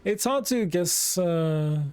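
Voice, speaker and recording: A man's voice: high voice, expressive, slow, darkish tone, clear, resonant tone, no room sound. A man with a Norwegian accent giving chatty let's play commentary, reacting aloud and talking to his chat. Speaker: streamer.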